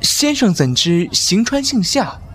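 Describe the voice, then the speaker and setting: A man's voice: high voice, very animated, a little slow, a bit bright, a little hiss or hum, exaggerated voice. A flustered young nobleman. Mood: courteous. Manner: courteous, flustered when pressed. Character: earnest young nobleman Mu Fuyi, polite and easily flustered. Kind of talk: Chinese audio drama acting. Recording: over background music.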